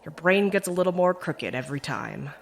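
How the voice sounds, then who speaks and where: A woman playing a calm, needling mentor in an audio drama, speaking in a wry, probing way. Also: on the low side, fairly quick, gravelly.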